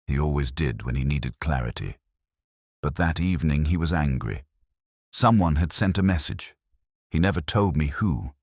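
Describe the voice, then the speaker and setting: A man voicing a formal, restrained grieving widow in a mystery story, speaking calmly and carefully. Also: extremely low pitch, moderate pace, no echo, clean studio sound, exaggerated voice. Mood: resigned.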